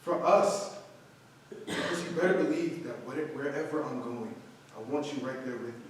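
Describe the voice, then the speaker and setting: A man in a staged play reading playing a proud, defensive young acting student, earnest and quick to bristle. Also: medium pitch, natural voice. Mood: earnest, pleading. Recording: distant mic, very echoey room, faint noise.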